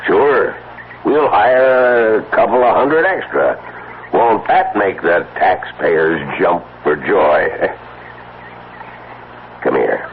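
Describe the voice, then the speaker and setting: An actor playing an unflappable, sarcastic police officer with a gravelly voice in a 1970s radio drama, dry and folksy in his dismissiveness. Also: low voice, sing-song, slow, pauses often, narrow, tinny audio, exaggerated voice. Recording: thin, hissy old radio recording.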